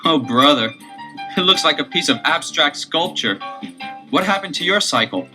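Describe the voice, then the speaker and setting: An actor playing a keen, confident teenage detective brother in a 1970s children's audio adventure, earnest and emphatic. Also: male voice, a little high, animated, moderate pace, over music, close to an everyday voice. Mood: shaken.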